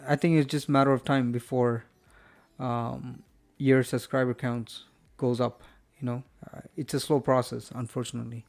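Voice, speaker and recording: A man's voice: medium pitch, vocal fry, a little mellow. A man hosting a live stream from home, wrapping up the show in a rambling, friendly chat full of fillers and restarts. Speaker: host.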